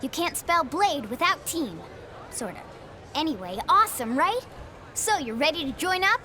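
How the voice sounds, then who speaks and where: High, perky young tour guide. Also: female voice, sing-song, normal speed, sharp tone, heavily acted. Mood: playfully eager. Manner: bubbly, enthusiastic, playful. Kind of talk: video game cutscene voice acting.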